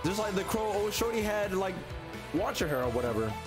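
A man's voice: high voice, very animated, brisk. A young man giving casual, slangy reaction commentary as a video host, often repeating his phrases. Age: young adult. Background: game trailer music.

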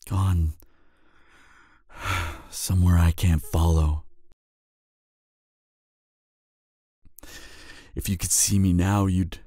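Guy playing young fairy-tale guardian, low voice, dry close sound, halting n sad, blames himself. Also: a little mellow, dry recording. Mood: grieving. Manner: halting, sighing, tender. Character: grieving, guilt-ridden young male guardian of a princess. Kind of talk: fairy-tale audio drama acting.

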